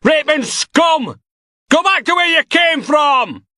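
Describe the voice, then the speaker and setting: A furious chanting mob. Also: male voice, very high voice, lively intonation, takes it slowly, no echo, studio quality, pitched above the performer's own voice, exaggerated voice. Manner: shouting in chanted bursts. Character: angry chanting mob, men and women.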